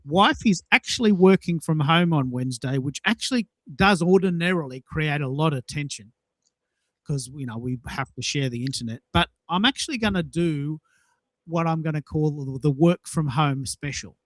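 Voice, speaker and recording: A man's voice: a little high, moderate pace, fairly bright tone, dry recording. A man with an Australian accent hosting a show in casual chat, enthusiastic and emphatic as he talks. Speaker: show host.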